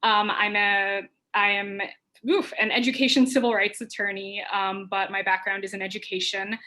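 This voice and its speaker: A woman's voice: medium pitch, level tone, normal speed, sharp tone. A woman attorney moderating a panel, giving earnest, grateful opening remarks with a nervous laugh.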